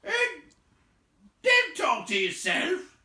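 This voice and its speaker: A man voicing a loud, quarrelsome rustic troll who bellows indignantly in rough colloquial speech, in a read-aloud fantasy story. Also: very high voice, wildly swooping pitch, very slow, echoey room, recorded from a distance, pitched above the performer's own voice, heavily acted. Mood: bickering.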